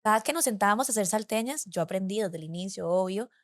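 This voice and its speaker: A woman, a guest in a casual interview, talking in a relaxed conversational way. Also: medium pitch, fast talker, no room sound, clean studio sound. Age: 20s. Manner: relaxed, conversational, "o sea" and "entonces". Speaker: guest, business co-founder.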